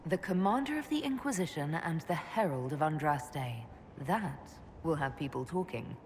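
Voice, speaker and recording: A woman playing a calm, caring noble leader with a gentle, direct manner in video game dialogue. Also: low voice, moderate pace, a little hiss or hum. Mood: gentle, curious.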